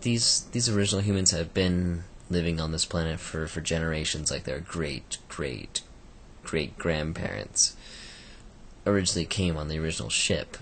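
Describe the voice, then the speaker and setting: Male book reviewer, very deep and croaky, casual and rambling, faint hiss behind. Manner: rambling, many fillers and restarts.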